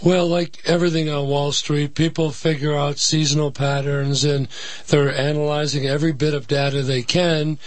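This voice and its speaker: Slightly hoarse man, fairly high voice, dry studio sound, steady explainer on talk radio. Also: moderate pace, no room sound. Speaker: financial advisor guest. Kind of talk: radio interview. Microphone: studio microphone.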